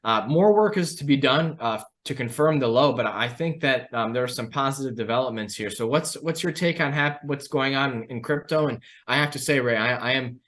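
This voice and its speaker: A man's voice: mid-range voice, fairly quick, hardly any pauses, fairly bright tone. A man hosting a financial discussion, giving conversational market commentary and putting questions to an analyst.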